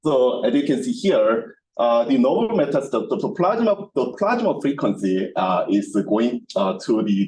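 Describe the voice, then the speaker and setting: Low-pitched man lecturing haltingly. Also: dark tone, rough recording. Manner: halting, repetitive non-native phrasing. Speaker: lecturer, researcher. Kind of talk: technical seminar lecture. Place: seminar room. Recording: lecture room with clear background noise.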